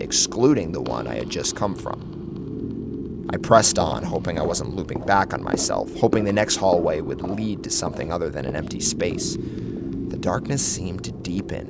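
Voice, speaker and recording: A man's voice: deep voice, vocal fry, heavy background noise. A man reading a scripted first-person horror story as a narrator, with a dramatic, theatrical delivery. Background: loud music.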